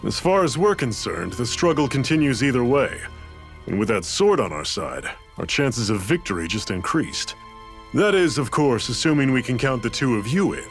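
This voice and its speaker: An actor playing a seasoned, sardonic rebel leader in a video game, casually commanding and fair. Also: male voice, mid-range voice, very animated, normal speed, faint music behind. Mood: measured, probing. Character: seasoned, wry rebel leader, gruff but fair man. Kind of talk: video game cutscene acting.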